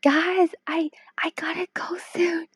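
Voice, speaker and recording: A woman's voice: extremely high pitch, level tone, slow, dry recording, studio quality. A woman from Hong Kong chatting casually on a late-night stream, tired and worn-voiced, playful and teasing, sometimes dropping to a whisper. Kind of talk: casual live-stream chat while drawing.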